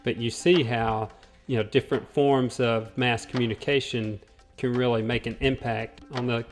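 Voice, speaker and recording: A man's voice: mid-range voice, fairly even intonation, moderate pace, soft background music. A man from a community theater talking reflectively in an interview. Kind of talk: interview talk.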